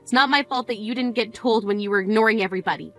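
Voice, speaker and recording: A woman's voice: medium pitch, fast, a bit bright, faint music behind, natural voice. A woman playing a blunt, grieving older sister who scolds and questions in disbelief, in a scripted drama. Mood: reproachful. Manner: scolding, incredulous, cutting. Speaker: sister at a funeral.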